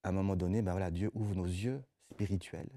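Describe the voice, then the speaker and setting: A man's voice: low-pitched, fairly even intonation, a little fast, warm tone. A middle-aged man from Belgium, speaking conversationally as a pastor being interviewed, often hesitating and repeating words as he explains. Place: TV studio set.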